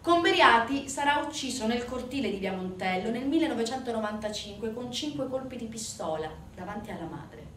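A woman's voice: a little high, lively intonation, a little fast, hardly any pauses, fairly bright tone. Woman, dramatic theatre narrator, emphatic delivery, distant mic, roomy echo. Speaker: stage actress narrator. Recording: roomy, distant stage recording with faint noise.

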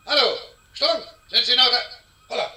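An actor in a radio play playing a prickly, excitable ambassador, sarcastic and heard over a phone speaker. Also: male voice, very high-pitched, moderate pace, exaggerated voice. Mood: alarmed. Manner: sarcastic, blustering. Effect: telephone loudspeaker. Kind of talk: German radio play acting.

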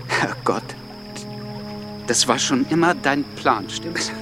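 A man's voice: mid-range voice, expressive, takes it slowly, music in the background. A man acting a stammering, impulsive and defiant revolutionary in a radio drama. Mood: bitter, agitated. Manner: stammers on first sounds.

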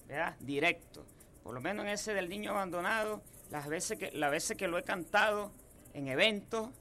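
A man's voice: a little high, bright, forceful tone. A man in his thirties from Apure, Venezuela, with a llanero accent, telling homespun stories as a guest in a radio studio booth. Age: 30s. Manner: folksy, homespun. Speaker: guest singer-songwriter. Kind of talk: relaxed storytelling interview.

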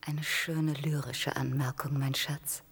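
A lowish female voice plays a mother remembering in a radio play. She is slow and hesitant, intimate and wry, slipping toward a whisper. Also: level tone, breathy edge, full character voice. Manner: hesitant, hushed, breaking into whispers. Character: mother recalling deportation, quietly wry and intimate.

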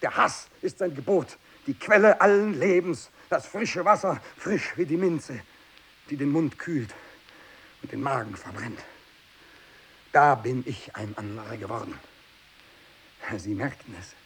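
A tormented zealot in a 1960s radio play, his voice gravelly and full of creak. He is a captive missionary turned hateful fanatic, intense in every phrase, moving between muttering and declamation. A faint hiss lies over the recording. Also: medium pitch, expressive, measured pace, long pauses, fairly bright tone. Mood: vehement, fervent, then bitter, subdued. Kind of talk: 1960s German radio drama monologue. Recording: hissy 1960s radio production.

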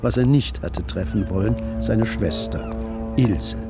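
A man's voice: on the low side, a little slow, loud music behind the voice. A man performing as a grave literary narrator in a radio drama. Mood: grave narration.

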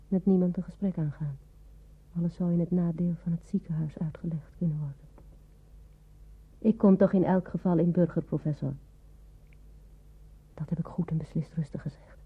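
Anxious nurse, tinny radio drama. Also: female voice, on the low side, husky. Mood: nervously dutiful. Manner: hushed whispered asides between dutiful phone replies. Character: anxious hospital nurse, timid, racked by nervous inner thoughts. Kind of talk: radio drama inner monologue. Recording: tinny band-limited old radio drama recording.

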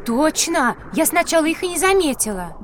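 A woman's voice: sits high, moderate pace, noisy background. A woman voicing a sweet, inquisitive, naive young schoolgirl in a children's educational audio drama. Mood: surprised. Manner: expressive, naive.

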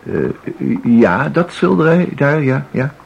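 A man's voice: medium pitch, big pitch swings, takes it slowly, gravelly, highs cut off, a little hiss or hum, heavily acted. An actor playing a timid, polite, flustered man in a radio drama, hesitating and stammering as he repeats himself. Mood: baffled, uneasy. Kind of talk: Dutch radio drama acting.

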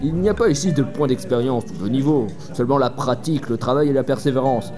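A man acting as an easygoing innkeeper in a fantasy audio fiction. He explains things in a plain, matter-of-fact way at a middling pitch. Music plays clearly behind him. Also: quick pace, lightly acted. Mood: plain explaining. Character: easygoing innkeeper player who shuns heroics. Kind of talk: French audio fiction dialogue.

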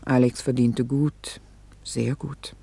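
An actress playing a reflective, vain middle-aged wife in a radio play, hesitant and confiding, with dramatic pauses and breathy near-whispers. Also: female voice, rumbling low, level tone, dark tone, full character voice. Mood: wistful, reflective. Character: reflective, vain, self-reproaching middle-aged wife confessing her memories. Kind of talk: intimate German radio play monologue.

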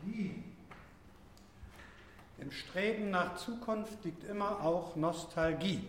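Echoey, pedantic university lecturer. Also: male voice, high-pitched. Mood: dismissive. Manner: measured, pedantic, dismissive. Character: dry, dismissive wine-drinking male university lecturer. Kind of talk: seminar lecturing in radio drama. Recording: echoey seminar room, reverberant, faint noise.

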